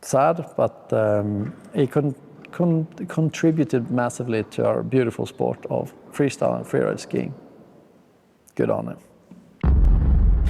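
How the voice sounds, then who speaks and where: A man's voice: mid-range voice, creaky, warm tone, slight background noise. A young man from Sweden, speaking, gives laid-back, slangy, enthusiastic commentary while reacting to ski clips. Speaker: pro skier.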